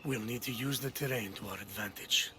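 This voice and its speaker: An actor playing a stern, proud old Spartan king and grandfather in a video game, speaking in a grave, measured manner. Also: medium pitch, close to an everyday voice. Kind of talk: video game cutscene dialogue.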